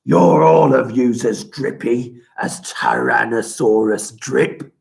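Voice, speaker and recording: Narrator doing a gruff, scornful dinosaur dad. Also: medium pitch, slow, darkish tone. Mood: scolding. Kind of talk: storybook character voice.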